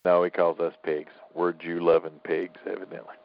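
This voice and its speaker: A man's voice: low-pitched, flat delivery, normal speed, creaky. A man hosting a casual talk show, bantering in a teasing, opinionated way. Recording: dull lo-fi audio.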